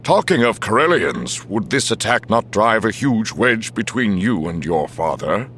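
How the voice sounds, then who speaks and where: A man voicing a wary, weary older military chief in an audiobook, with a formal, measured and emphatic delivery. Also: lowish voice, sing-song, fairly bright tone, a little hiss or hum, pitched above the performer's own voice.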